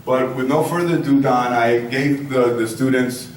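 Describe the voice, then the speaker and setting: A man's voice: mid-range voice, steady tone, normal speed. Very echoey, noisy male event host. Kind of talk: informal live introduction and moderating. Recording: echoey room from far off, clear noise.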